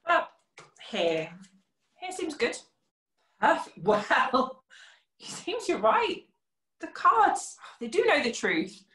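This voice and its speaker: A woman's voice: sits high, wildly swooping pitch, slow pace, long pauses, pushed and bright, exaggerated voice. A woman playing a probing, theatrical card reader in a stage scene, speaking with dramatic deliberation. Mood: knowing, dramatic. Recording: echoey room.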